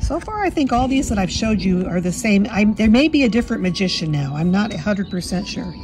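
A woman with a slightly hoarse voice from a cold, chatting fondly through a walkthrough of a card deck at her table. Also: medium pitch, very animated, fairly quick, noisy background. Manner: fond, chatty, admiring. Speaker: tarot deck reviewer. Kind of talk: casual walkthrough. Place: indoors at a table.

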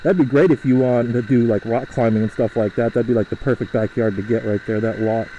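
A young man talks through his ride in a loose, rambling way, full of fillers and restarts. His voice is mellow and mid-pitched. It is picked up by a helmet mic with faint road noise behind it. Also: steady tone, highs cut off. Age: young adult. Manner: rambling, chatty, halting with fillers. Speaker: motovlogger. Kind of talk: casual riding commentary. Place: riding a motorcycle outdoors through a suburb.

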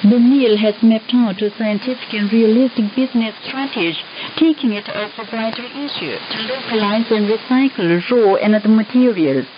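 High-voiced woman newsreader on hissy shortwave radio. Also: normal speed, few pauses, lo-fi sound. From North Korea. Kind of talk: formal scripted radio news reading.

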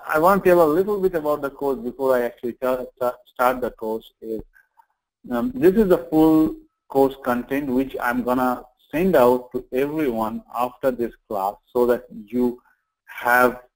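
A man's voice: medium pitch, rough recording. Male online course instructor explaining informally. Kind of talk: informal lecture to online class.

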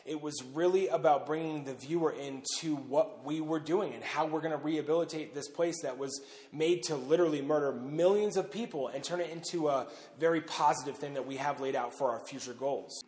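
A man in his thirties speaks casually to camera while standing in a huge underground missile silo. His voice sits a little high for a man, and he talks loosely, leaning on fillers. The long concrete reverb trails after every phrase. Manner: conversational, loose, with frequent "uh" and "pretty much". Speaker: bunker owner and host.

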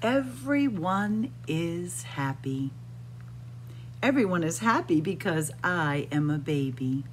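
A middle-aged woman reads a children's picture book aloud at a very slow, careful pace. Her slightly low voice moves expressively through sing-song phrases, as a storyteller speaking to small children. There is some background noise in the room. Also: expressive. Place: indoors in a library room with bookshelves.

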